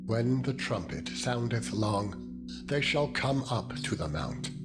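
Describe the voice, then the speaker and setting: A man's voice: medium pitch, big pitch swings, slow pace. A man voicing the Lord God as a solemn, authoritative deity, delivering archaic commandments in a dramatized scripture reading. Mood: solemn commanding. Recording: loud music under the voice. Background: loud music.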